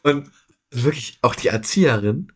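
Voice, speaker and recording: A man's voice: mid-range voice, lively intonation, moderate pace, husky. A young man co-hosting a podcast, chatting and telling personal stories in a blunt, colloquial way. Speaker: podcast co-host. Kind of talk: casual chat, personal storytelling.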